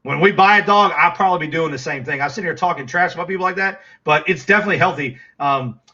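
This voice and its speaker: A man's voice: on the high side, fast, pushed and bright, lo-fi sound. A middle-aged man with a Texas accent, chatting casually with viewers on a livestream, rambling with lots of fillers and restarts.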